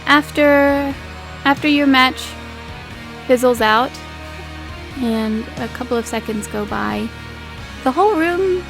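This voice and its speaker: A woman's voice: sits high, creaky, low-quality recording. A woman improvising descriptions as game master of a tabletop roleplaying game, in an informal, feeling-her-way manner.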